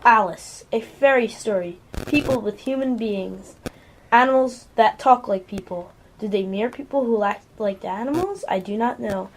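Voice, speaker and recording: A woman's voice: on the high side, normal speed, slight background noise, rough recording. A female amateur narrator reading scripted storybook narration for a radio play.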